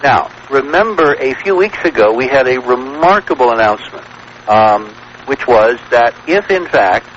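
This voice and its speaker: Male talk-radio guest, middle pitch, emphatic and wordy storyteller, dull vintage broadcast with light noise. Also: expressive, normal speed, a bit bright.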